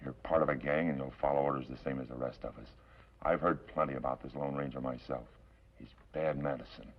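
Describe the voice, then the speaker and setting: A man's voice: very deep voice, steady tone, vocal fry, full character voice. An actor playing a tough, level-headed outlaw henchman in a 1950s television western, speaking firmly and with authority. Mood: commanding. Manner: firm, commanding, measured tough talk. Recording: dull, hissy old television soundtrack.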